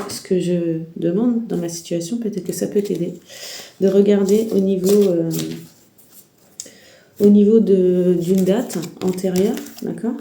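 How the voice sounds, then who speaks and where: A woman's voice: lowish voice, gravelly, echoey room, background noise. A woman with a heavy head cold and sore throat gives a rambling, hesitant spiritual guidance talk, speaking straight to the viewer. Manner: hesitant, rambling, many restarts and fillers. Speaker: tarot reader.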